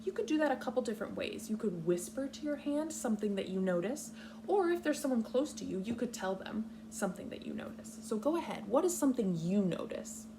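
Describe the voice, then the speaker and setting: A woman leading a read-aloud lesson for young children, speaking patiently in simple, deliberate, instructional phrases. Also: on the high side, sing-song, noisy background. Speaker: kindergarten teacher reading a picture book aloud.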